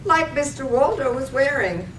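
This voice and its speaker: A woman's voice: expressive, moderate pace, a bit bright. A woman acting a chatty, scandalised gossiping village wife in a reading of a poetic radio drama. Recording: roomy, distant microphone, faint rumbly noise.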